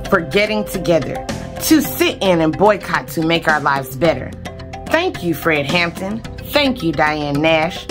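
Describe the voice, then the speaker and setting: A woman reading a rhyming children's picture book aloud expressively, phrase by phrase, with emphasis. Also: low voice. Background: music.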